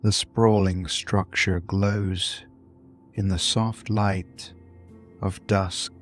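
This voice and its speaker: A man narrating a scripted bedtime story in a soothing, deliberate manner, with long pauses between short phrases. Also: deep voice, flat delivery, soft background music. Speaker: host and narrator of a sleep story podcast.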